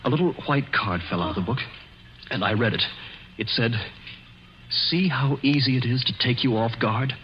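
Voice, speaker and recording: A man's voice: mid-range voice, moderate pace, pauses often, natural voice. An actor playing a firm, guarded, desperate young man in a 1940s radio drama. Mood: urgent. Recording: dull old radio broadcast, faint hiss.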